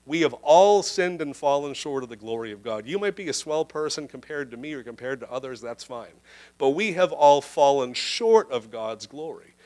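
A man in his thirties preaching a sermon into a handheld microphone indoors, earnest and emphatic, slowing down to stress key phrases. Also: mid-range voice, lively intonation, a little mellow. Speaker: pastor. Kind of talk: livestreamed sermon. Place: indoor church room.

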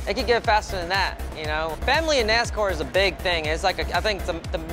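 Fast-talking, high-voiced young man. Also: expressive. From San Antonio, Texas. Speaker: pit crew tire changer. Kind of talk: interview. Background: music.

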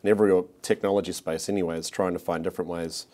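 A man's voice: low-pitched, fairly even intonation, quick pace, dark tone. A man in his forties with a New Zealand accent, a marketing executive answering interview questions with frequent fillers and restarts. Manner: hesitant, many restarts and fillers. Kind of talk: corporate interview. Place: office studio set.

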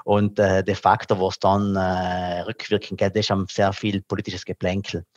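A man's voice: low voice, level tone, moderate pace, no room sound. A middle-aged man, a tax advisor, explaining things carefully over a headset video call, with many fillers. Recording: dull lo-fi call audio.

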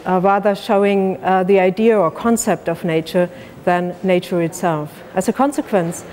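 A woman's voice: lowish voice, fairly even intonation, moderate pace, darkish tone. A woman in her thirties giving a formal explanatory statement to camera.

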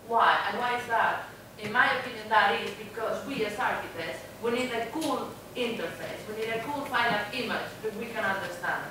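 A woman's voice: fairly high pitch, normal speed, few pauses. A woman panelist speaking earnestly in a panel discussion, recorded from a distance in a large lecture hall. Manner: earnest, emphatic, many fillers. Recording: echoey lecture hall from far off, background noise.

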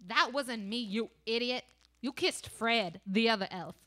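A woman's voice: fairly high pitch, unhurried, bright, forceful tone. A young woman playing a defiant, commanding elf revolutionary in comic radio theater, with theatrical delivery. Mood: contemptuous. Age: young adult. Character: defiant elf revolutionary posing as Santa's mistress. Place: small studio stage, indoors. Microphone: close boom mic.

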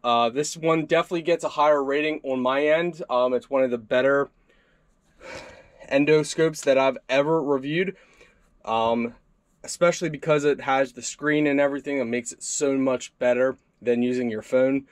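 Young adult man, mid-pitched, easygoing product-review delivery from a desk. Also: moderate pace, no echo, clean studio sound. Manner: relaxed, conversational walkthrough with fillers. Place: indoor desk workspace.

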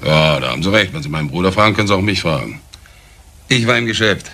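Indignant older uncle, forceful low voice. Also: male voice, expressive, fast talker, vocal fry, a little hiss or hum, heavily acted. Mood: guarded, resentful. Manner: emphatic, indignant rhetorical questions. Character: indignant, scornful older uncle, small shopkeeper. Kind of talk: German crime radio play acting.

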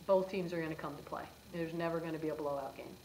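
A middle-aged woman, a head basketball coach, answering questions at a post-game press conference in a brisk, matter-of-fact way. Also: low-pitched, steady tone, darkish tone, echoey room, a little hiss or hum, rough recording. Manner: brisk, matter-of-fact, with frequent "um" and "you know". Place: press room before a sponsor backdrop, seated at a table.

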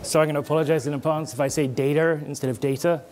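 A man's voice: on the high side, fairly even intonation, very fast, a little mellow, a little hiss or hum. A middle-aged British man with an American-tinged British accent, giving a conversational conference presentation on stage. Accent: British with American twang. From Britain. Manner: conversational, gestures while explaining, restarts words. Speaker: conference speaker. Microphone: headset mic.

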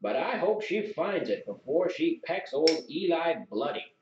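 A man's voice: on the high side, big pitch swings, measured pace, darkish tone, dull audio, voice pushed up. A man voicing a sociable, outspoken old village regular with rustic, colloquial talk in a story. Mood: wry. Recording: roomy, distant mic, noisy with clicks.

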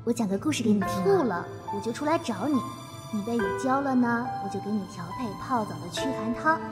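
A female actor plays a plucky young fox-spirit maiden in a costume fantasy audio drama. Her voice is fairly high, earnest and chatty, washed in long reverb over music. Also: expressive, fast, natural voice. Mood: earnest, fond.

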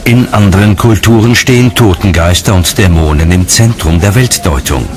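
A man's voice: deep voice, moderate pace. A man reading scripted narration for a radio documentary. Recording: music under the voice. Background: background music.

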